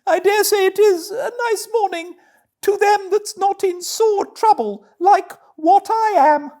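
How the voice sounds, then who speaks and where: A man's voice: very high-pitched, unhurried, a little mellow, voice pushed up. A man voicing a boastful toad who poses as a plaintive old washerwoman in a storybook reading, with rustic grammar and a fussy, wheedling manner. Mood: plaintive, anxious.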